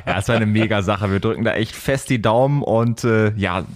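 Male host, fairly low pitch, informal, enthusiastic podcast chat. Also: a little fast.

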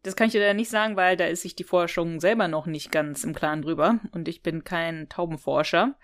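A woman's voice: fairly low pitch, a little fast. A woman co-hosting a podcast, chatting casually and readily amused as she retells and discusses a story. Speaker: podcast co-host. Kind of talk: casual chat, retelling and discussing an audio drama episode.

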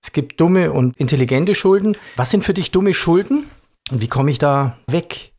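A man's voice: a little high, animated, normal speed, a little mellow. A man hosting an interview, recapping points and asking questions in an informal, colloquial way. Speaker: interview host.

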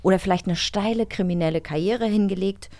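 A woman co-hosts in an informal, colloquial chatting style. Her voice is average in pitch, and the recording is dry, free of any room echo. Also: brisk, a little mellow. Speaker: co-host of an audio drama magazine. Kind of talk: casual chat.

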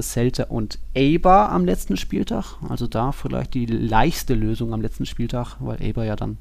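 A man's voice: mid-range voice, gravelly, dry recording. A man co-hosting a football podcast in casual discussion, speaking colloquially with exclamatory turns of phrase.

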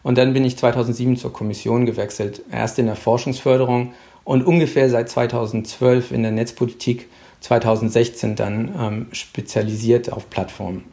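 A middle-aged man from Hamburg, a guest speaking over a phone line, answering in a halting way with many fillers. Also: medium pitch, flat delivery, dark tone. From Hamburg, Germany. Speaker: guest, policy official. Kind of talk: interview answers.

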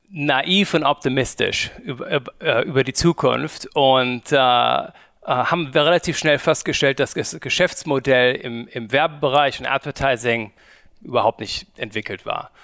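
Gravelly German man, halting speech. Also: medium pitch, fairly bright tone, dry recording, clean studio sound. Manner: hesitant, many fillers and restarts. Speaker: guest, media company co-founder. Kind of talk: conversational interview.

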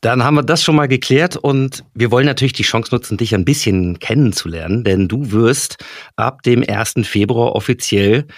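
A man's voice: medium pitch, normal speed, no room sound, studio quality. A man hosting an interview, asking questions in a chatty way with hesitant fillers. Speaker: interviewer, podcast host.

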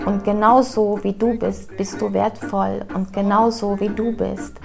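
A middle-aged woman speaking candidly and reflectively in an interview, often pausing and restarting her sentences. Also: mid-range voice, level tone, vocal fry, darkish tone. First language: German. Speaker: interviewee, children's ministry worker. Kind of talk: personal interview testimony. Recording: music playing underneath.

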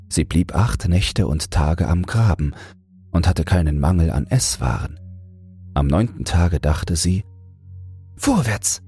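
A man with a soft, breathy voice narrating a fairy tale as a bedtime audiobook. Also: very deep voice. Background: soft music.

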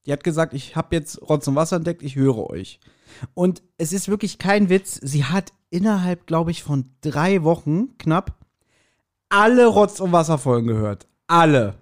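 Chatty forty-year-old man with a higher voice. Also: animated, moderate pace. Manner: informal, chatty, rambling asides. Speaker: podcast host. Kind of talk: casual chat, joking.

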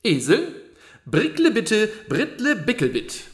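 A man's voice: high-pitched, huge pitch swings, slow, exaggerated voice. Man acting a jovial rich king, breezy, theatrical, very swooping intonation, fairy-tale radio play. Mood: showy, instructing. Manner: exclamatory, theatrical. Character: rich, breezy royal brother, jovial and generous.

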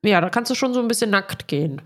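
A woman's voice: medium pitch, quick pace. A young woman co-hosting a podcast with a cold, chatting casually in a blunt, slangy way. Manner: blunt, slangy, animated storyteller. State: has a cold, stuffy. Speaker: podcast co-host. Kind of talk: casual chat.

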